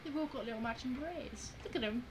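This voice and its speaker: A woman's voice: on the high side, quick pace, background noise. A woman giving casual, chatty gameplay commentary, reacting in short asides. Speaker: game streamer.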